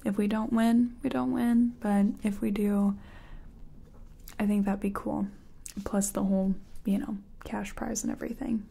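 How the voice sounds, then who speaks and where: Whispering, breathy woman in soft-spoken ASMR. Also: medium pitch, little pitch movement, measured pace. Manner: hesitant, murmuring to herself. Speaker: ASMR performer playing a makeup artist. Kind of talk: soft-spoken ASMR roleplay, personal attention.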